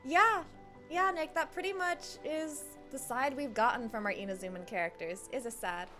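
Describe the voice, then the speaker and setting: A woman's voice: high voice, normal speed, sharp tone, soft background music. A woman livestreaming a game, chatting casually and playfully with her viewers. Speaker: live streamer. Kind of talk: casual livestream chat over gameplay.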